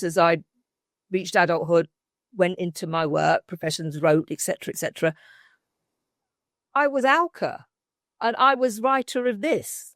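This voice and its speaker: A woman's voice: medium pitch, a bit bright, dry recording, studio quality. An older woman with a British accent, arguing her case as a debate panellist in an emphatic, discursive way. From Britain. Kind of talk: informal debate argument.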